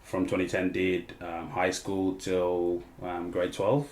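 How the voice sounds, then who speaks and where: A man's voice: sits low, completely flat delivery, takes it slowly, a little mellow, roomy sound, slight background noise. A man in his late twenties from Papua New Guinea with an Australian accent, telling his story as a podcast guest with frequent ums and restarts. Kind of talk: casual interview chat.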